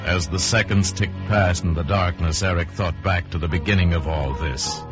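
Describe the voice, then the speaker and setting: A very deep-voiced man tells a suspense story in deliberate, theatrical radio style. The old recording is muffled, with music underneath. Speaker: storyteller narrator. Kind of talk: mid-century radio drama narration. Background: dramatic music.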